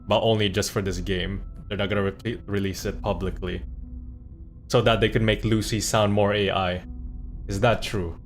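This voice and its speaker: Young male streamer, lowish voice, chatty and loose. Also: fairly even intonation, moderate pace, soft background music. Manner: chatty, laughs often. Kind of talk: casual gameplay commentary.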